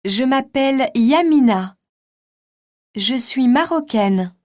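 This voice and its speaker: Slow, clear woman, slightly high voice. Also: animated, darkish tone, no room sound, studio quality. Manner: clear, carefully articulated. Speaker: textbook audio voice actor. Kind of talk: slow scripted dialogue reading.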